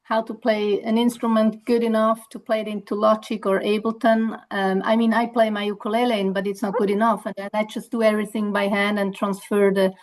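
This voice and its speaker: A woman taking part in a webinar, asking the presenter questions in a hesitant, halting way. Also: medium pitch, flat delivery, a little mellow, poor audio. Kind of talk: casual questions.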